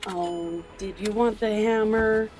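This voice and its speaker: A smug supervillain played by a woman in an audio drama. She sounds mocking and theatrical, taunting whoever stands against her, at a medium pitch with faint music underneath. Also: fairly even intonation, slow pace, lightly acted. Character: smug, mocking female supervillain holding hostages.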